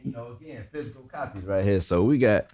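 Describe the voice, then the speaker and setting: A man's voice: on the low side, lively intonation, quick pace, a little mellow. A man hosting a casual podcast interview. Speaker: podcast host.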